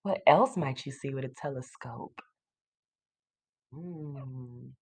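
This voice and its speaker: A woman teacher reading a children's book aloud to a class, pausing to explain simply and put questions to young listeners. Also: a bass voice, animated, a little mellow, husky.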